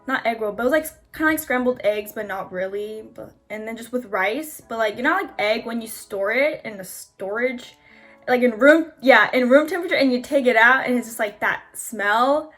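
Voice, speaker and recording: A young woman talks loosely in a casual chat, stacking up "like" as she goes. Her voice is on the high side, and faint music plays behind her. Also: moderate pace, a bit bright. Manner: rambling, frequent "like" fillers.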